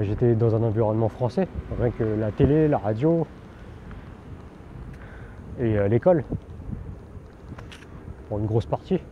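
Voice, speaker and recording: A man born in France, talking candidly while riding a bike outdoors, rambling with many fillers and restarts. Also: medium pitch. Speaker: vlogger. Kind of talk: casual spoken monologue. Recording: dull outdoor recording with background noise.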